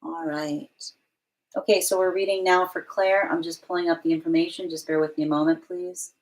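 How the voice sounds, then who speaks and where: A woman's voice: lowish voice, level tone, a little fast, a little mellow, low-quality recording. A woman giving a live-streamed tarot reading, thinking aloud, reading viewer comments out and often restarting her phrases. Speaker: tarot reader.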